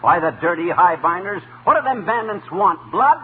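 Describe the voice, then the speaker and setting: High-pitched grumbling radio husband. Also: male voice, expressive, moderate pace. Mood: outraged grumbling. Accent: American. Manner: blustery, indignant, folksy. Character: grumbling middle-aged husband, chronic complainer, outraged over taxes. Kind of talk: 1940s radio comedy acting. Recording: tinny, noisy 1940s radio recording.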